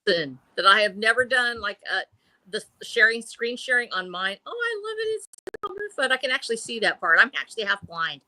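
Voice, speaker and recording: Bright-voiced effusive woman in her sixties. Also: on the high side, very animated, fairly quick, slight background noise, rough recording. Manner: effusive, chatty, giggly. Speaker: panel show guest. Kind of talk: casual chat.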